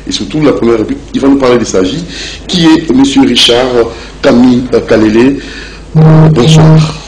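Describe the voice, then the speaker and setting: A man with a Congolese accent hosting a television interview in halting, wordy phrases. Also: medium pitch, background noise. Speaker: interviewer, show host.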